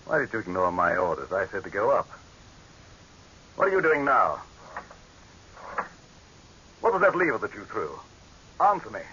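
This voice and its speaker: Deep-voiced, pompous dictator, old radio. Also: male voice, very animated, normal speed, long pauses, pushed and bright. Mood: angry, alarmed. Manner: pompous, condescending, commanding. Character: pompous, imperious male dictator, coolly amused, easily irritated. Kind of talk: 1930s radio horror drama acting. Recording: hissy, muffled old radio recording.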